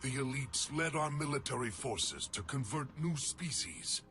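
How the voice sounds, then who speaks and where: An actor playing a proud, embittered alien elite warrior in a game cutscene, grave and emphatic in delivery. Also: male voice, medium pitch, very animated, a little slow. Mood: bitter, reverent. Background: cinematic music.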